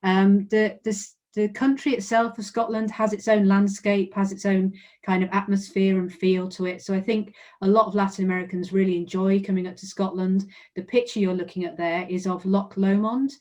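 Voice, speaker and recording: A woman's voice: mid-range voice, fairly even intonation, moderate pace, warm tone, dull audio. English woman presenting her university. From England. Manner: friendly, informative, frequent "um" fillers. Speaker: university representative. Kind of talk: online presentation.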